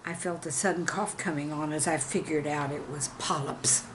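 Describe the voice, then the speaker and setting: A woman reading scripted stories and verse as a channel host, with a measured, deliberate delivery. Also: low-pitched, steady tone, noisy background. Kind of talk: scripted storytelling and poem reading.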